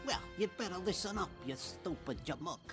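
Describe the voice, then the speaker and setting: A sly schoolyard bully from a cartoon, played by a woman, talking in threats and slang. The pitch moves about a great deal, and music plays clearly behind. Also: expressive, normal speed. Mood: menacing.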